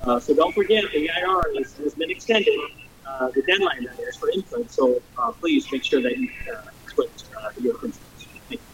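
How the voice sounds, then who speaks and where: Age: adult. Sex: man. Role: mayor answering a debate question. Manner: halting with many fillers, lively pitch, slightly high voice. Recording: noisy. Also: far from the mic, lo-fi sound.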